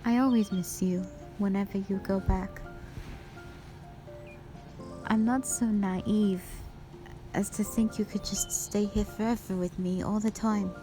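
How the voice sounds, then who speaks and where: A woman playing a gentle, devoted dragon wife in a romantic audio roleplay, speaking tenderly in formal, old-fashioned phrasing. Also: medium pitch, fairly even intonation, a little slow, pauses often, lightly acted. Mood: tender, happy. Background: music.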